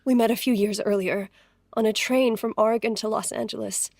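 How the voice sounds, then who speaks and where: An actress playing a timid, polite young former beauty queen, halting and deferential, in a period audio drama. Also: fairly high pitch, steady tone, a little mellow, no room sound. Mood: matter-of-fact.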